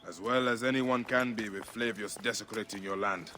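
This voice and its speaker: An actor playing a grieving warrior who speaks low and grave, set on avenging his son. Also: normal speed, slight background noise. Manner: low, grave, erupts into anguished shouts. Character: grieving Egyptian warrior, grim and vengeful.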